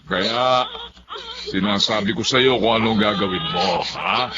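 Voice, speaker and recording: A man's voice: lowish voice, a little slow, close to an everyday voice. A man acting a middle-aged father and grandfather in a Tagalog radio drama, exasperated but caring as he minds a baby. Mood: firm, calming. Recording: noisy, dull lo-fi radio drama audio.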